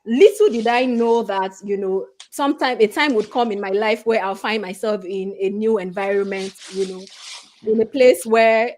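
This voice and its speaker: A middle-aged woman from Nigeria speaking as a guest on a career panel, earnest and conversational, often filling pauses with "you know". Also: mid-range voice, darkish tone.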